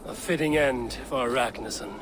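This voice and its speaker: An actor playing a stern, dry-witted Viking warrior in a video game, speaking in a measured, deadpan, commanding way. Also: male voice, mid-range voice, background noise, lightly acted. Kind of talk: video game cutscene acting.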